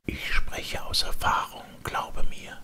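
A man voicing a sorrowful enchanted donkey in a breathy, grave whisper for a storybook reading. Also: bright, forceful tone. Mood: mournful warning. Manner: slow, grave, pleading.

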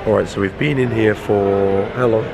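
A slightly hoarse young man, chatting upbeat as vlog host from a car, filmed at arm's length. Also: lowish voice, steady tone, normal speed. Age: young adult. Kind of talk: casual car vlog chat. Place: inside a car and a car dealership. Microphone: handheld camera at arm's length. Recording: noisy car and street sound. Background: engine and road noise.

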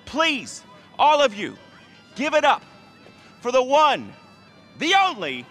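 An actor playing a desperate, boastful wannabe celebrity DJ in a video game, babbling and bragging in a needy way. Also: male voice, very high voice, wildly swooping pitch, slow, fairly bright tone, soft background music, full character voice. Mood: hyped announcing. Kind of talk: video game cutscene acting.

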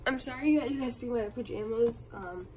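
A young woman with a hoarse, raspy voice from a cold, pitched a little high, chatting casually and a bit apologetically. She sits back from a phone filming from above, so her voice lands slightly distant over a clear room hiss. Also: normal speed, a little mellow. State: hoarse from being sick. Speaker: vlogger. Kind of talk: casual unboxing chat. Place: carpeted bedroom floor. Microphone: phone camera filming from above.

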